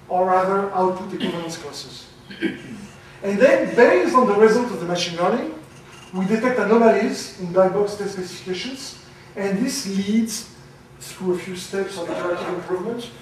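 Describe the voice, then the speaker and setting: A man giving a conference talk, loud and halting, with many fillers. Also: sits high, slight background noise. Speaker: conference speaker. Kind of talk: academic presentation. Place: lecture room. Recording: very echoey, from far off.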